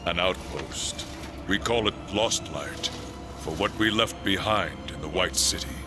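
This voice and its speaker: Deep-voiced noble angel warrior. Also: male voice, measured pace, music in the background. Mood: grave, steadfast. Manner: formal, earnest. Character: seasoned male angel warrior, steadfast, noble guardian. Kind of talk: dramatic game cutscene acting.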